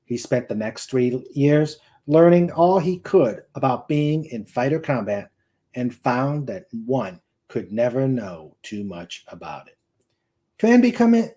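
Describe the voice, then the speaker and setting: A man narrates a novel in a mellow, middle-pitched voice. His reading is emphatic and swings widely in pitch, with occasional stumbles over words. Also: big pitch swings, unhurried. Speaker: host and narrator.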